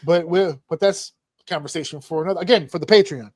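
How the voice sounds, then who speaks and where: A man's voice: a little high, quick pace, no echo, studio quality. A man hosting a casual podcast panel, asking rambling questions and making profane jokes. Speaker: podcast host.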